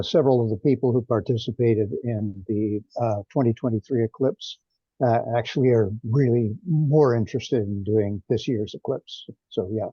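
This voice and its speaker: A man's voice: mid-range voice, dark tone, no room sound. A man giving an informal update as a participant on a conference call. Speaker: meeting participant, event coordinator. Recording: dry, slightly muffled call audio.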